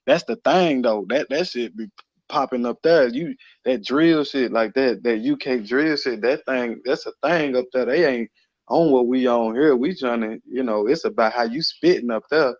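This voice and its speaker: A man talks casually on a podcast in a mid-pitched voice. His manner is informal and slangy, with phrases often repeated as he goes. The sound is dry and slightly muffled, a bit lo-fi. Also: normal speed, no echo. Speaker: podcast co-speaker.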